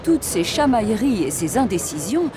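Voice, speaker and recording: Lively woman storyteller over music. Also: fairly high pitch, normal speed. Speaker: narrator. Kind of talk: scripted children's storytelling narration.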